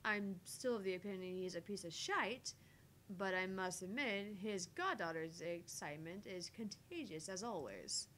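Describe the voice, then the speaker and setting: A woman voicing a sharp-tongued, sardonic heroine in a dry, taunting and theatrical read-aloud of a visual novel. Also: medium pitch, animated, normal speed, a little hiss or hum, lightly acted, rough recording. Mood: dry amusement. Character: sharp-tongued sardonic woman narrating her thoughts, teasing and haughty.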